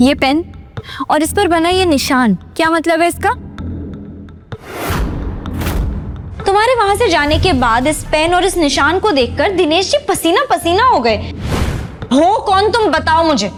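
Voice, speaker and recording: Proud troubled young wife, high voice, sharp manner, audio drama, music clearly behind. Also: female voice, animated, measured pace, pauses often. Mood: angry, demanding. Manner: sharp, demanding. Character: angry, anxious young wife, proud and contemptuous. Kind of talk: Hindi audio drama acting.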